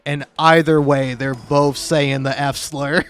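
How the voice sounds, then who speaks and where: A man's voice: fairly high pitch, level tone, slow, a bit bright. A man rambling casually on his own as a video creator, self-deprecating and joking, with stammered false starts and plenty of swearing. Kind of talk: rambling casual solo commentary. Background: background music.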